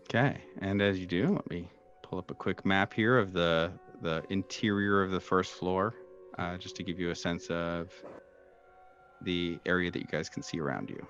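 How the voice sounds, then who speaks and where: A very low-voiced man runs a horror tabletop game as game master. He describes scenes in a halting, searching way, feeling his way through each phrase. Faint music plays behind him. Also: steady tone, highs cut off. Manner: halting, hesitant descriptive delivery. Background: soft background music.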